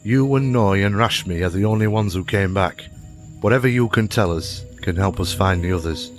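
A man playing a worried, tender father in an audio drama. His voice is low and he speaks earnestly, reassuring a small child. Music plays underneath. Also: normal speed, close to an everyday voice. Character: gentle, worried father soothing his young son.

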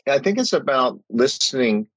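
A man's voice: medium pitch, sing-song, normal speed, fairly bright tone, slightly rough, no room sound. A middle-aged man reflecting in an interview, talking thoughtfully with many fillers and restarts. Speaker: guest, former company chief and novelist.

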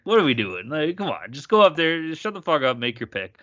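Bright-voiced, swearing ranting man. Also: on the high side, big pitch swings, fairly quick. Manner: profane ranting, lots of swearing. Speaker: podcast co-host. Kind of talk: casual sports chat. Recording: slightly dull lo-fi audio.

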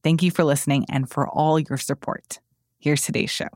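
A woman hosting a news podcast, introducing the show and interviewing a reporter in a clear, measured way. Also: sits low, steady tone, a little mellow, no echo, studio quality. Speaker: podcast host.

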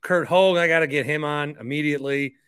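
An American man delivers a casual podcast monologue in a bright, forceful, fairly high voice. He is chatty and emphatic, with informal phrasing. The sound is close and very dry. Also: fairly quick, clear, resonant tone, no echo. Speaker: podcast host and stadium announcer.

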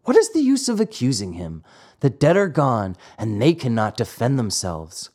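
Reader as an earnest, proud puppet boy, indignant, lively. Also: medium pitch, normal speed, pitched below the performer's own voice.

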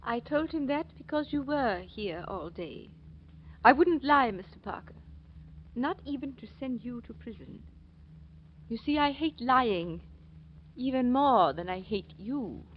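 An actress playing a genteel, upright aunt in 1940s radio style, with a polite, proper manner. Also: female voice, on the high side, animated, unhurried. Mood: firm, cold. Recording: muffled, noisy old radio recording.

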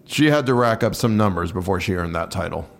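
A man's voice: deep voice, expressive, fairly quick, creaky. An actor playing a guarded male patrol officer in a naturalistic audio drama, blunt, offhand and reluctant to talk. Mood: defensive. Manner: offhand, reluctant, plain-spoken.

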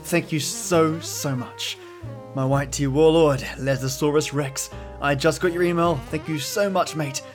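Male podcast host, slightly high voice, affectionate and effusive listener address, soft music underneath. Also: steady tone, measured pace. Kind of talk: warm scripted podcast introduction. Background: music behind the voice.

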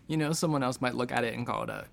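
A man's voice: medium pitch, steady tone, very fast, creaky, fairly bright tone, a little hiss or hum. A man playing a jaded doctor in a sci-fi audio drama, with a dry, wry and blunt delivery. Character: jaded, sardonic male space doctor. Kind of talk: audio fiction acting.

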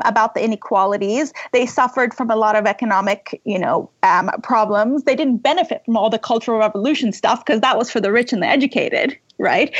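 A woman's voice: a little high, slightly rough, no echo, lo-fi sound. A woman from Iran speaking as an interview guest, earnest and reflective, often breaking off and restarting her thoughts. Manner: earnest, reflective, self-interrupting with repeated "you know". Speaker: podcast guest, author. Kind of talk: conversational interview answers.

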